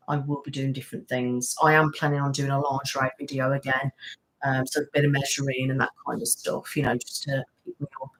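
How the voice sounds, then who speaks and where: A soft-spoken woman chats casually on her ASMR live stream. She greets her viewers by name and answers their questions in a relaxed, rambling way, with plenty of fillers. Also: a bass voice, rough recording. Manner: chatty, reads and greets viewers' comments. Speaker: ASMR channel host.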